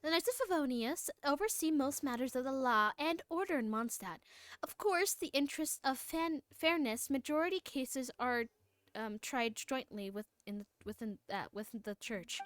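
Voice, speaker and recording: A woman voicing a formal, earnest female knight commander from a fantasy game, reading the lines aloud a little haltingly. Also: high voice, fairly bright tone, dry recording. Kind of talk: live read-along of game dialogue.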